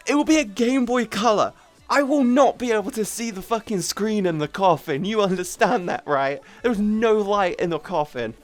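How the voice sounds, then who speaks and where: A woman is chatting casually on a live stream. Her talk is informal and rambling, peppered with swearing. Music plays faintly behind her. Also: fairly low pitch. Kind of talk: casual chat with stream viewers. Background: background music.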